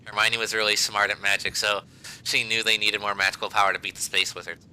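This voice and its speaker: A man's voice: on the low side, level tone, fast talker, sharp tone, clear, resonant tone, rough recording. A man reading fan fiction aloud as a podcast host, amused and hamming up the emphasis. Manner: breaks into laughter while reading, hammy emphasis.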